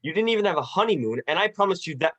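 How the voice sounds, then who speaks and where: A man's voice: high voice, expressive, very fast, a bit bright, muffled recording. A young man playing an earnest, stammering, hot-headed small-town husband in a folksy 1940s radio drama style. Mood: dejected. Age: young adult.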